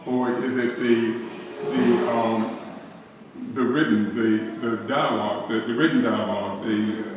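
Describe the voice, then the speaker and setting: A man's voice: medium pitch, fairly even intonation, measured pace, a little hiss or hum. A man in the audience at a gallery talk, asking a long question with many restarts and repeated words. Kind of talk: hesitant question from the floor. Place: art gallery. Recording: echoey gallery room, far from mic.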